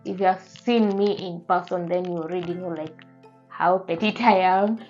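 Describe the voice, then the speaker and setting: Kenyan girl in her 20s doing a chatty vlog, soft mellow voice, earnest, bit of music behind her. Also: lowish voice, steady tone, normal speed, highs cut off. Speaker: beauty and lifestyle vlogger. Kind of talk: casual chat to camera.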